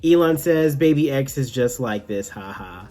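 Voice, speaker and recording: A man's voice: medium pitch, animated, normal speed, dark tone, soft background music. A man hosting a tech news show, giving enthusiastic, partly scripted commentary with some casual asides.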